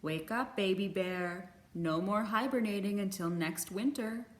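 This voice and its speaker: A patient mama bear from a children's storybook, played by a woman. She speaks in a soft, coaxing way and takes her time. The recording has a roomy echo. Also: medium pitch, a little slow, lightly acted.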